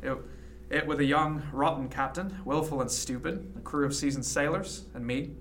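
An actor playing a reflective, modest sea captain of the early 1700s in a radio drama, measured and halting. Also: male voice, a little high, a bit bright, a little hiss or hum. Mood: somber, reminiscing. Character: reflective, modest sea captain in 1717, former cabin boy. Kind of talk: period radio drama acting, storytelling.

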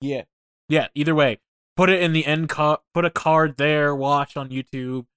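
A man's voice: fairly high pitch, fairly even intonation, moderate pace, fairly bright tone, clear, resonant tone, dry recording, clean studio sound. Casual male film podcaster. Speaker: podcast co-host. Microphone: voice call recording.

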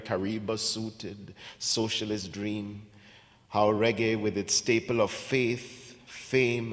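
A poet from Ghana, a man, reading his poems in a measured, deliberate way, with hesitant spoken asides between them. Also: lowish voice. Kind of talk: poetry reading with spoken introductions. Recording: long hall reverb.

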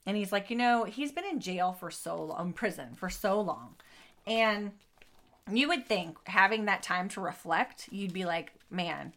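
A woman talking through a true crime case in a relaxed chat with a friend. She retells events loosely and slips into quoted voices, with a medium-pitched everyday voice and casual swearing. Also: a little slow. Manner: storytelling, acts out quotes, swears. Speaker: podcast co-host.

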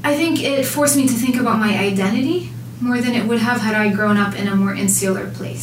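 Thoughtful woman in an echoey room. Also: medium pitch. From Jerusalem. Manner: thoughtful, measured, lively when quoting others. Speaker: guest, food writer. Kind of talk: reflective interview answers. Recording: echoey room, distant mic, noisy.

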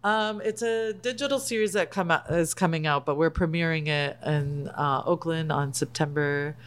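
A woman chef, Palestinian, talking casually on a podcast, animated and laughing often. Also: deep voice, normal speed. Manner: animated, laughs often, acts out quoted lines. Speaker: guest, chef and cookbook author.

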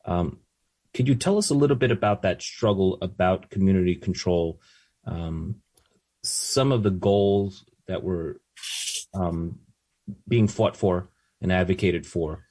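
A man hosting an interview, speaking haltingly with many filler sounds. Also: low voice, a little mellow. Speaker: host.

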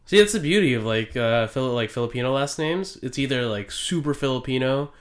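A man in loose, slangy conversation with friends. His voice swings widely in pitch, and he breaks into big, loud laughs. He sounds animated and fully at ease. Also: a little fast. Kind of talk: casual chat among friends.

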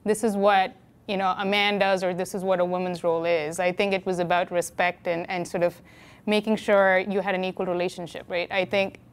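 A middle-aged woman from India, answering interview questions in a measured, reflective way, with fillers and restarts. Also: on the low side, level tone, clean tone. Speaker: interview guest, city chief technology officer.

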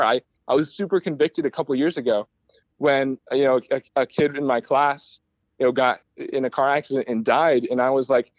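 A man's voice: medium pitch, no room sound, highs cut off. An American man speaking conversationally as an interview guest, explaining things in an enthusiastic, rambling way. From United States, Michigan. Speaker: guest, aerospace start-up founder.

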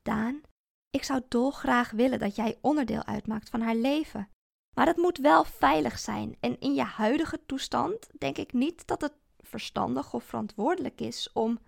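A woman reading the part of a calm, patient ex-husband in a story, measured and deliberate. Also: fairly high pitch, vocal fry.

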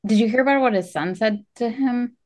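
A woman's voice: a little high, brisk, darkish tone. Woman chatting casually, retelling in quoted dialogue. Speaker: podcast co-host. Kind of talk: casual chat.

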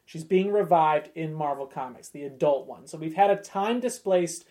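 A man's voice: a little high, lively intonation, moderate pace, mellow. A man chatting casually as a podcast guest, explaining things with enthusiasm.

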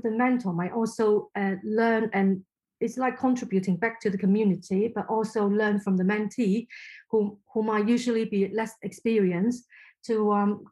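A woman's voice: medium pitch, darkish tone, rough recording. A woman from Hong Kong whose first language is Chinese, answering interview questions thoughtfully with frequent restarts. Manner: thoughtful, halting, many restarts. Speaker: podcast guest.

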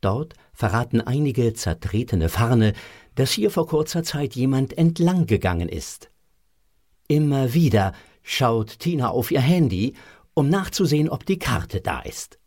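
A man's voice: mid-range voice, sing-song, a little slow, a little mellow. A man narrating a children's audio drama in a scripted storybook style.

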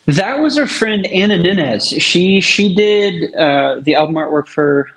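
A man's voice: high-pitched, lively intonation, a little slow, a bit bright, rough recording. A man speaking casually and enthusiastically as a musician being interviewed.

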